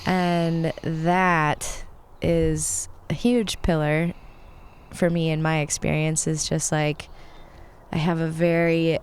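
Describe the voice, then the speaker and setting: A woman chatting as a podcast guest in a slightly raspy, sniffly, congested voice, speaking reflectively with pauses. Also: low-pitched, little pitch movement, darkish tone, a little hiss or hum. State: sniffly with allergies. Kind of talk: casual podcast conversation.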